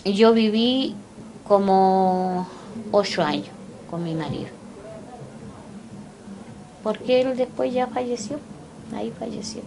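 An older Chilean woman recalling her life slowly and haltingly, pausing often to remember. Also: medium pitch, creaky, noisy background. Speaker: interviewee. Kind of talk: personal recollection. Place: indoors, small room by a window.

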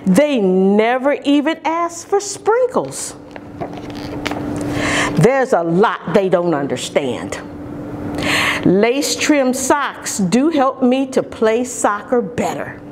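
Storytime reader: middle-aged woman, highish swooping voice, expressive emphasis for small children, some room noise. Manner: expressive, deliberate, with drawn-out emphasis on key words. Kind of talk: children's picture-book read-aloud. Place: large carpeted indoor lobby or lounge.

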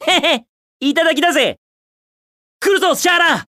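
A man's voice: extremely high pitch, normal speed, sharp tone, no room sound, clean studio sound, exaggerated voice. A man voicing a cocky, carefree young hedgehog hero in a video game, with breezy, confident, slangy delivery. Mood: excited, eager.

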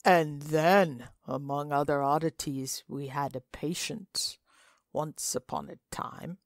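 A gaunt, solemn man in a storybook reading, put on by a woman. He speaks low and very slowly, deliberately drawing out his words. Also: no room sound, studio quality, heavily acted. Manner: drawn-out, deliberate. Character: gaunt, cadaverous, solemn male dinner guest.

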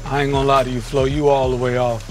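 A man's voice: mid-range voice, flat delivery, fast talker, over music. A man in his thirties hosting a casual interview on a hiking trail, joking and teasing in slangy talk. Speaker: host.

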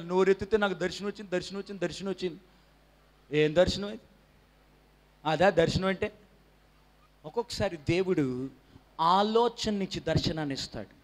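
A man in his thirties preaching a sermon from a church stage into a handheld microphone, animated and quizzing the congregation, sometimes rising to a shout. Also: sits high. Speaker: pastor.

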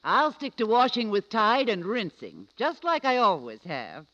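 A contented housewife in a vintage radio ad. She speaks enthusiastically with lively swings of pitch. The old recording sounds a little muffled. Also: a little slow, exaggerated voice. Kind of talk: 1940s radio ad acting.

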